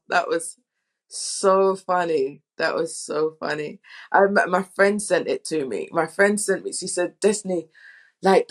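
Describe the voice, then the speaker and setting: A woman with a medium-pitched voice talks in a casual panel setting. She is a director who tells anecdotes in an animated, informal way, like someone chatting with friends. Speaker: audio drama director.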